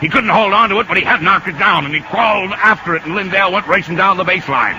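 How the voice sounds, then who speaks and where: A man's voice: high-pitched, quick pace. An actor playing a folksy man who narrates a baseball story dramatically in a 1950s radio drama. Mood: excited, suspenseful. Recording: noisy, muffled old radio recording.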